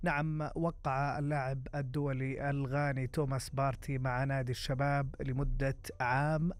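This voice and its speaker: A Saudi man co-hosting live football talk and news, often pausing to search for his words. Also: medium pitch, flat delivery. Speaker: sports radio co-host.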